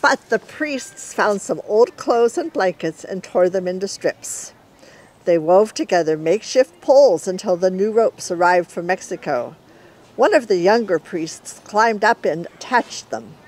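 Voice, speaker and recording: A middle-aged woman reading a children's story aloud in an expressive storyteller's way. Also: mid-range voice, unhurried.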